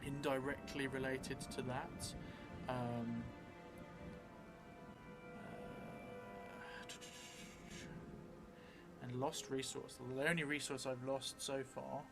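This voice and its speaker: A middle-aged man narrates a solo roleplaying game, thinking out loud at a slow pace. His delivery is halting and musing, at an average pitch for a man, and music plays clearly behind him. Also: fairly even intonation. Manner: halting, musing, trailing off as he writes. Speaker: solo tabletop roleplay streamer. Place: indoor theatre room, seated at a table.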